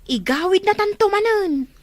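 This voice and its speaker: A woman plays a young farm daughter who complains and schemes. Her voice is extremely high and closely, dryly recorded. She has an Ilocano accent and belongs in a rural family drama. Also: lively intonation, normal speed, no echo. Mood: scheming. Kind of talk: amateur Ilocano radio-style family drama.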